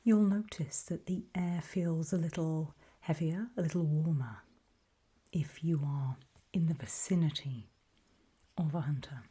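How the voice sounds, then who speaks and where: A woman whispering softly and breathily in an ASMR roleplay, giving calm, deliberate instructions with pauses, close to the microphone. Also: low voice, breathy edge. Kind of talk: whispered ASMR roleplay, scripted guide briefing.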